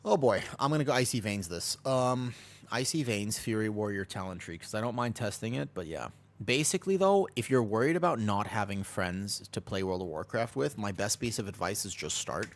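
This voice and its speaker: Man, American accent, slightly low voice, casual rambling stream chat. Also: lively intonation, brisk. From United States. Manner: chatty and rambling, reads and answers chat, laughs easily.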